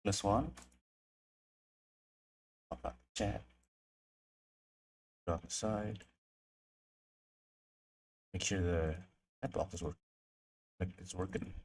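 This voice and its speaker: Low-voiced young man streaming, mumbling. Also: steady tone, takes it slowly, long pauses, slight background noise. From Indonesia. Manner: mumbling to himself, trailing off. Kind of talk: casual livestream chat while modeling.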